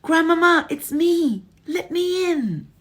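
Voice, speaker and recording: A woman voicing an excited, plucky young boy in a storybook reading. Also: sits low, fairly quick, husky. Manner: breathless, excited.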